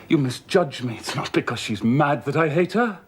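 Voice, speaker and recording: An actor playing a brooding, passionate gentleman who is master of the house, speaking intensely and pleading, from low murmurs to outbursts, in a period film drama. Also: lively intonation, normal speed, a little hiss or hum, natural voice.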